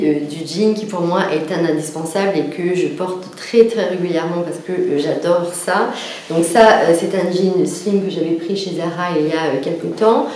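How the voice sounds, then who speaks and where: A woman's voice: fairly low pitch, dark tone. Chatty woman in an echoey room. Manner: chatty, repetitive lists, frequent "euh" fillers. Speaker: fashion vlogger. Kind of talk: casual talk to camera. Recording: very echoey room with faint noise.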